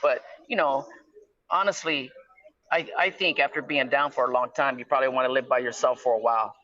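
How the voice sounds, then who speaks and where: A man's voice: mid-range voice, steady tone, fairly quick, pushed and bright. Middle-aged man on a dull phone line. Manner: conversational, explanatory, peppers talk with "right?" and "you know". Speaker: guest calling from prison. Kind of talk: casual phone conversation. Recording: slightly dull, lo-fi phone call.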